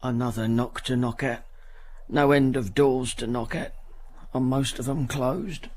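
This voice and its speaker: An actor plays a poor English working man in a period radio play. His voice sits at an ordinary male pitch, plain and deferential. Faint noise runs behind it. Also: steady tone, measured pace, close to an everyday voice. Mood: weary. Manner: plain, meek, colloquial. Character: humble, poor, deferential working-class porter. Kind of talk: period radio drama acting.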